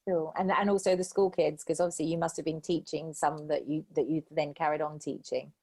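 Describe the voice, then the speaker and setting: A woman hosting a casual interview chat, her voice sitting a little low. She asks her questions in an encouraging, enthusiastic way, warmly affirming her guest's answers. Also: fairly even intonation, brisk. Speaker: podcast host.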